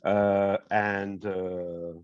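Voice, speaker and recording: A man's voice: on the low side, flat delivery, drawn-out words, clean tone, dull audio. A man with a French accent, sick with a cough, chatting playfully in broken English on a video call. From France. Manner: playful, chanting and sing-song, broken English. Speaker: community member. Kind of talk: casual video call chat.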